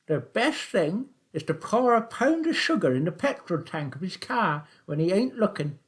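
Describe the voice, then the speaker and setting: A gleeful old man behind the wheel of a taxi, his voice jumping high and loud with excitement, put on by a male narrator. He chatters in a rustic, chortling way, his pitch swooping all over the place. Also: normal speed. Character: old man, cheerful chuckling taxi driver.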